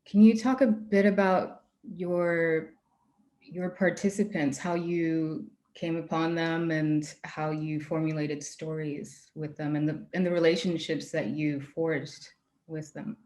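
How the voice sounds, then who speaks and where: Echoey, lowish-voiced woman moderating a Q&A. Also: steady tone, slow pace, darkish tone. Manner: friendly, curious interviewer. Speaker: festival programmer and moderator. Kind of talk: hosting a recorded Q&A.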